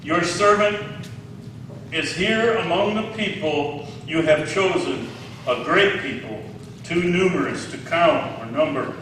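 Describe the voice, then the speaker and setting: A man's voice: on the high side, slow, fairly bright tone, a little hiss or hum. A man in his sixties preaching a sermon in a conversational way, asking his listeners questions. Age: 60s. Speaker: pastor. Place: large echoey hall. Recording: echoey hall, distant mic.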